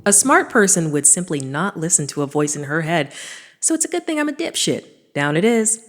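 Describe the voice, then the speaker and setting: Low-voiced, sarcastic woman adventurer. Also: sing-song, a little fast. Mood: sardonic. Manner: wry, casual, self-deprecating. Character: sarcastic, foul-mouthed, reckless woman adventurer. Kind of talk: first-person audio drama narration and dialogue.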